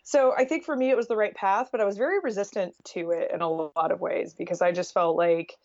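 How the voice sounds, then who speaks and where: A woman's voice: medium pitch, steady tone, a little fast, darkish tone, no room sound, lo-fi sound. An American woman telling stories casually as an interview guest, chatty and sprinkled with "like". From Oregon, United States.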